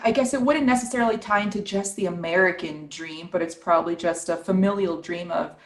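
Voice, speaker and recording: A woman's voice: on the low side, a little fast, roomy sound. A woman from New York, a podcast guest telling her story cheerfully. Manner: bright, cheerful, relaxed storytelling. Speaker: guest, real estate investor. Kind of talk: casual interview.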